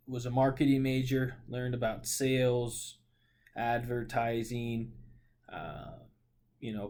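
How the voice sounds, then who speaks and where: A man's voice: medium pitch, a little mellow. A man in his late twenties from Oregon, talking casually about his life in a rambling monologue full of fillers and self-corrections. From Portland, Oregon. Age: 20s. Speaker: vlogger.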